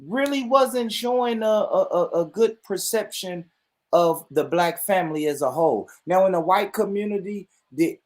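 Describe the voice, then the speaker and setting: A man's voice: high-pitched, expressive, moderate pace. A man hosting an online panel talk in loose slang, welcoming guests and asking questions. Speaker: host.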